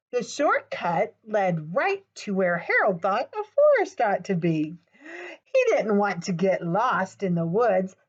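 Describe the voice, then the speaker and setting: A woman presenting a storytime for young children, talking to them directly in an animated way as she reads aloud. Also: a little high, lo-fi sound.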